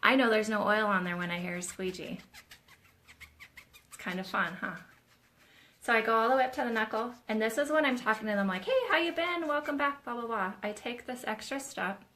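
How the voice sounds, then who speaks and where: This is a woman hosting a live question-and-answer session for nail techs. Her voice is bright and forward, and she talks in a loose, chatty teaching style, explaining as she demonstrates on her own nails. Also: on the high side, fairly quick. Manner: chatty, demonstrates while talking, addresses viewers as "you guys". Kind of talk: casual live-stream teaching.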